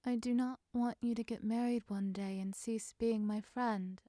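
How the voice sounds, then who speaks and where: A woman voicing a dazed, wistful young lady in an audiobook-style story, mumbling sleepily in a hushed way. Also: fairly high pitch, fairly even intonation, moderate pace, no echo, studio quality, close to an everyday voice. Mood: sleepy, wistful.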